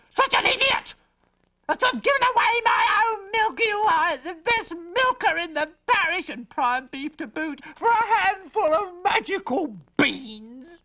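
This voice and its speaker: A storyteller voicing an excitable farm mother, shrill and shrieking as she scolds her son.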